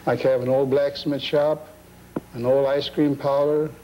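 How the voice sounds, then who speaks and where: An older man in a casual interview, talking plainly and haltingly in short phrases on an old videotape recording. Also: mid-range voice, level tone, highs cut off. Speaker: collector interviewed. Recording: old degraded videotape, faint noise.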